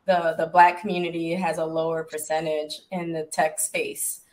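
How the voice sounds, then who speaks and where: A woman's voice: deep voice, level tone, a little mellow, poor audio. A woman who runs a tech business, talking earnestly as a panelist on a video call from home. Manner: earnest, measured, advocating.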